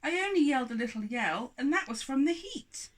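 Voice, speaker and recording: A woman's voice: high-pitched, lively intonation, brisk, pitched above the performer's own voice. A woman voicing a flustered talking sofa, whining and pleading in a children's storybook. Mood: distressed. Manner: whiny, pleading.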